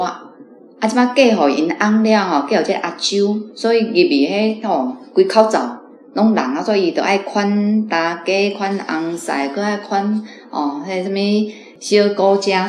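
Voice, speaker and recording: A woman's voice: on the low side, a little mellow. A woman with a Taiwanese accent, a reading club member, giving informal commentary on a story. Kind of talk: informal spoken book commentary. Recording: roomy sound, faint music.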